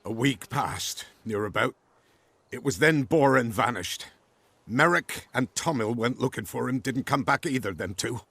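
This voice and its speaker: Game villager: fearful, deferential peasant, husky and creaky, storytelling delivery, close dry sound. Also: male voice, mid-range voice, expressive, a little slow, a bit bright, no echo, exaggerated voice. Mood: uneasy recounting. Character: fearful, deferential male peasant villager warning of a bog monster. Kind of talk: video game character acting.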